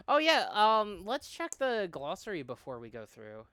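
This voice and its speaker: A man's voice: high voice, huge pitch swings, a little slow, pushed and bright, clear, resonant tone, dry recording, clean studio sound. A person, a man, hosting a game playthrough in casual chat and reading aloud. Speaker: host of a visual novel playthrough. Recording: music behind the voice.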